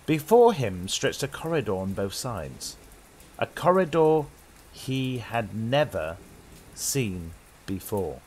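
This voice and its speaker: A young British man hosting and narrating horror in a theatrical old-radio style, with deliberate pauses. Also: lowish voice, darkish tone, husky, rough recording. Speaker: host and narrator.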